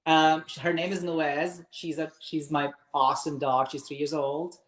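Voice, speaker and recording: A man's voice: on the high side, level tone, moderate pace, fairly bright tone. A man from Pakistan with a Pakistani accent, whose first language is Urdu, hosting a casual live-stream chat and earnestly giving advice. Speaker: host of a live interview.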